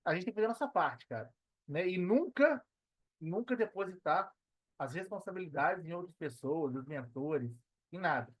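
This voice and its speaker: A man's voice: high-pitched, animated, moderate pace. A man with a Brazilian Portuguese accent speaking as a podcast guest in a casual, motivational way, repeating phrases for emphasis.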